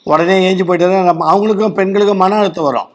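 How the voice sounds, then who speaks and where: A man with a Tamil Nadu accent speaks as a doctor giving phone-in advice. His voice sits notably high for a man and he talks very quickly, explaining things bluntly. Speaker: doctor advising a caller. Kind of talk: medical phone-in consultation.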